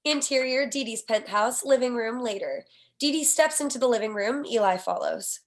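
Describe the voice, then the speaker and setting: A woman's voice: a little high, expressive, normal speed. A woman reading scripted screenplay stage directions as narrator at a table read.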